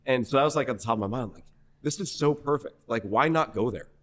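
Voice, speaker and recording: A young man from New Hampshire, a singer-songwriter guest in a podcast interview, telling a story in a rambling, animated way full of restarts. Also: mid-range voice, fast. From New Hampshire, USA. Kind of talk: casual conversational interview.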